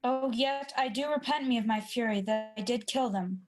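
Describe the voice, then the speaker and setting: A woman's voice: fairly high pitch, normal speed, over music, lightly acted. A young woman acting an ambitious, guilty usurper king in a Shakespeare radio play. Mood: impassioned. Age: young adult. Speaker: student actor.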